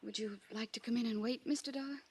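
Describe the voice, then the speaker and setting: A young woman playing a defiant, sharp-tongued rich daughter in an old-time radio drama. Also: fairly high pitch, steady tone, fast, breathy edge. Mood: sheepish, polite. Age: young adult. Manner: sarcastic, indignant.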